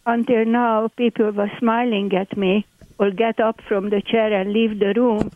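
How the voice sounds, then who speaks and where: A woman's voice: mid-range voice, little pitch movement, a little slow, no room sound. A woman in her eighties with a Hungarian accent, chatting as a landlord guest in a radio interview, speaking chatty broken English. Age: 80s. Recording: tinny phone line.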